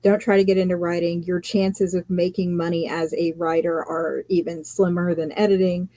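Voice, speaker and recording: A woman with a low, mellow voice, a guest author and editor in a relaxed live chat. She tells long stories, acts out what others said and laughs easily. The sound is dry and close. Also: normal speed, dry recording. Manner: chatty storytelling, quotes others, laughs often.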